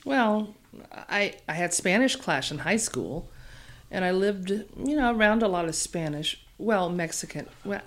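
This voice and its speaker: A woman's voice: medium pitch, expressive, a little slow, natural voice. An actress playing a friendly young female college student from Texas with a Texan accent, talking in a chatty, hesitant way.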